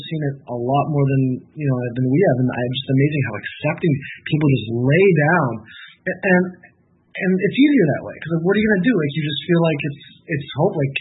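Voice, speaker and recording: A man co-hosting an interview, rambling and excitable in casual chat. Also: a little high. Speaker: co-host. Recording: tinny phone line with faint noise.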